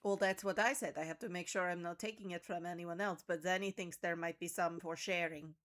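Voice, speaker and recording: A woman's voice: on the low side, fairly even intonation, fairly quick, a bit bright. A woman speaking in character in a tabletop game, matter-of-fact and precise in her wording. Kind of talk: in-character roleplay.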